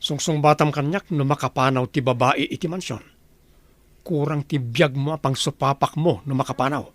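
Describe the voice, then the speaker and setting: A man's voice: medium pitch, moderate pace, no room sound, close to an everyday voice. A man voicing a wealthy, domineering master who gives stern commands in a radio drama.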